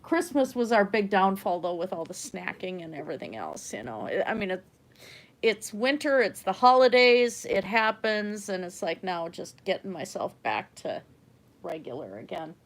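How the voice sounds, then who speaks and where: A woman's voice: mid-range voice, very animated, moderate pace. Chatty middle-aged woman, German native. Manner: chatty, trails off softly, laughs often. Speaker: livestream art host. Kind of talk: casual rambling chat with viewers.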